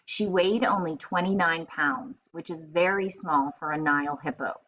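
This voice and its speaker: A woman reading aloud to children as a teacher, expressive and explanatory, in a slightly muffled recording with faint noise. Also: deep voice, moderate pace.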